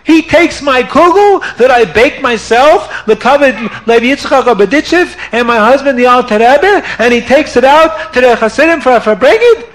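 A squealing, indignant older woman stammers out her grievance in a storytold tale, as a man puts her on. Her voice climbs very high and keeps tumbling over itself. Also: expressive, normal speed, hardly any pauses, fairly bright tone, low-quality recording. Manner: shrill, flustered complaining. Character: upset rebbetzin, older woman, indignant baker.

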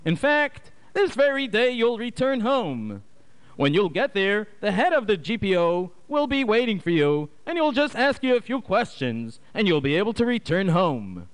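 A smooth-talking official coaxes and promises in a raised, lively voice, as a storyteller puts him on. His words sound reassuring and polished. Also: high voice, moderate pace, pitched above the performer's own voice, low-quality recording. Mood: coaxing. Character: smooth-talking male secret-police official.

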